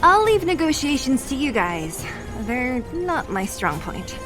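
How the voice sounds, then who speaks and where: Candid young woman for a game: casual, worldly, fairly high, lively swoops. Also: a little slow, over music. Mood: frank, friendly. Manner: casual, frank. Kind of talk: scripted game voice acting.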